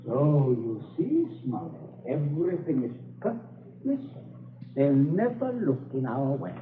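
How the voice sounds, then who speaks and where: A smooth, scheming father in a vintage radio thriller. His highish voice swoops theatrically between courteous charm and command, through a thin, scratchy recording. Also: big pitch swings, measured pace, crowd noise. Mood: falsely genial. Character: domineering, suavely genial, scheming English father. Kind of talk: 1940s radio suspense acting. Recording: scratchy, narrow-band 1940s radio recording.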